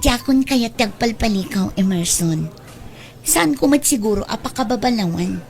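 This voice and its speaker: High-voiced, forward young Filipina played by an actress. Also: female voice, expressive, normal speed, gravelly, soft background music. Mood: smitten, pleading. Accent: Filipino, Ilocano. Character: bold, forward, accusing young woman in love. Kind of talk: Ilocano radio drama acting.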